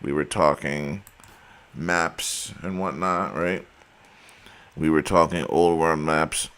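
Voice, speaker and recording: A man's voice: extremely low pitch, steady tone, takes it slowly, fairly bright tone. A man talking casually and emphatically to his viewers, saying he is losing his voice. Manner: emphatic, argumentative, addresses viewers as guys. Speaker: video host.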